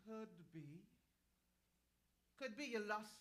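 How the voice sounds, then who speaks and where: A woman's voice: medium pitch, expressive, slow pace, a bit bright. A woman playing a sly, earthy, ageless river woman in a staged play reading, with a folksy, teasing, knowing way of talking. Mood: sage, matter-of-fact. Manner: folksy, teasing, chuckling drawl of a wise elder.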